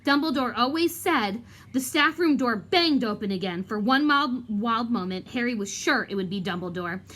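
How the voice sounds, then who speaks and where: A woman reading a children's novel aloud like a teacher, in an expressive, dramatic storytelling manner. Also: on the high side, moderate pace. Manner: animated, dramatic.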